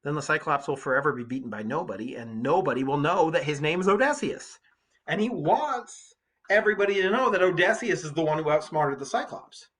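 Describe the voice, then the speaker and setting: A man's voice: a little high, expressive, brisk, fairly bright tone. A man reading literature aloud as a teacher, breaking off to explain it in an emphatic, colloquial way. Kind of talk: literature read aloud with informal commentary.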